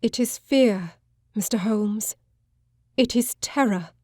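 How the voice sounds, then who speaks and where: An actress playing a frightened, distraught Victorian gentlewoman of thirty in an audiobook drama, with a formal, pleading manner. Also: mid-range voice, takes it slowly, no echo, studio quality. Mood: fearful. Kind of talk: full-cast audiobook drama acting.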